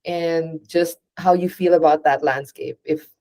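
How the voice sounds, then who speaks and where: A woman's voice: low-pitched, steady tone, unhurried. Affirming woman podcast host. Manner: warm, affirming, frequent backchannels. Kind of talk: casual interview conversation.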